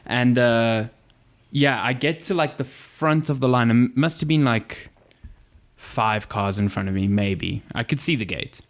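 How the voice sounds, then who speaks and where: Animated young man telling stories. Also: medium pitch, unhurried. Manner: animated storyteller, acts out quotes. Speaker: podcast co-host. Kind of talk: casual chat.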